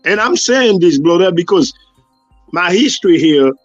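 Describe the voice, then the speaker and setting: A man's voice: high-pitched, lively intonation, moderate pace, no room sound, lo-fi sound, soft background music. Earnest Haitian-accented man host. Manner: earnest and preachy. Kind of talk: casual chat.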